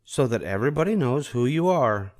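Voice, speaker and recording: A man voicing a kind, reassuring young female nurse in a children's storybook, with a gentle, coaxing manner. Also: medium pitch, big pitch swings, fairly quick, voice pushed up, close to an everyday voice. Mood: informative. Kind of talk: children's storybook read-aloud.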